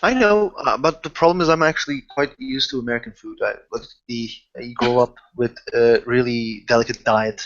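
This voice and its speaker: A man playing a roleplaying game in improvised conversation, halting and often repeating his phrases. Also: mid-range voice, slight background noise. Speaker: player in an online roleplaying game. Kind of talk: in-character improvised roleplay chat. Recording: dry, dull lo-fi voice chat.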